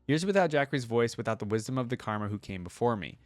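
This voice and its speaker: A man reading the part of a solemn, compassionate woman who carries spirits, in a gentle, grave storybook delivery. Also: mid-range voice, expressive, fast talker, no echo, close to an everyday voice. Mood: sorrowful, grateful. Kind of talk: story read-aloud.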